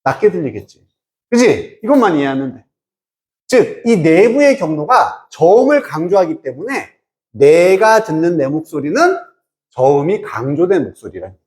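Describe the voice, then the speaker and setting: A man's voice: a little high, sing-song, moderate pace, a little mellow. A man teaching English in an explanatory lecture, emphatic and informal, often asking questions and answering them himself. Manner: asks and answers own questions, checking understanding. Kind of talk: explanatory exam-prep lecture.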